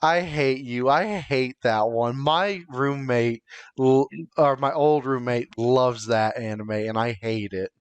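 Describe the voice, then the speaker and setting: Male podcast co-host, smooth clear voice, dry close sound, casual and halting, thinking out loud. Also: mid-range voice, dry recording. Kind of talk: casual podcast chat among friends.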